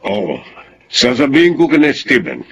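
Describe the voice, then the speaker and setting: An actor playing a calm, protective middle-aged father in a Filipino radio drama. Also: medium pitch, moderate pace, vocal fry, husky, full character voice. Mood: grave, resolved. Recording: dull, noisy radio recording.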